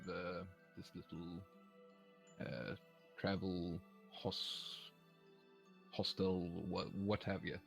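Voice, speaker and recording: A man's voice: sits low, highs cut off. A man acting as game master, narrating a tabletop game with many ums and uhs. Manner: hesitant, lots of ums and uhs.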